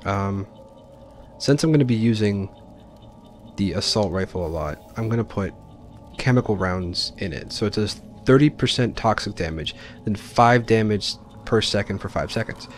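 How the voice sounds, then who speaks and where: Guy doing a chill let's play, kinda low voice, explains stuff as he goes, bit of music behind. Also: expressive, moderate pace. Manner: informal, explains game choices as he plays. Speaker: gaming video host. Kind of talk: casual let's play commentary.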